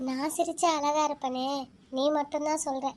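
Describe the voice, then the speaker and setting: A woman's voice: a little fast, a bit bright, slight background noise. A woman voicing a meek sad stepdaughter. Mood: sorrowful. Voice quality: high-pitched. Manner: meek and sorrowful.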